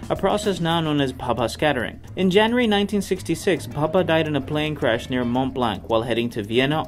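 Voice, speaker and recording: A man presenting a tech history series in scripted narration. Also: mid-range voice. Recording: music behind the voice. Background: background music.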